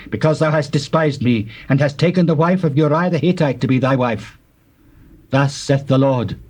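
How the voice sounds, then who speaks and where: A man's voice: mid-range voice, darkish tone, natural voice. A man playing a stern prophet who solemnly declaims God's judgment in a dramatized scripture reading.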